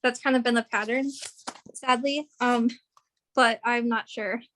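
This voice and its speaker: Giggly, halting woman in her late twenties. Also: fairly high pitch, fairly even intonation, a bit bright, a little hiss or hum. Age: 20s. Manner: halting, many likes and ums, giggly. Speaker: fan guest. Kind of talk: casual chat.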